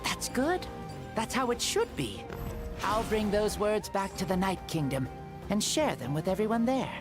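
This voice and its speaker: A woman playing a calm, dignified warrior ruler in a video game, speaking in a measured, composed way. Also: medium pitch. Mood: composed, approving. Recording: game audio under loud music.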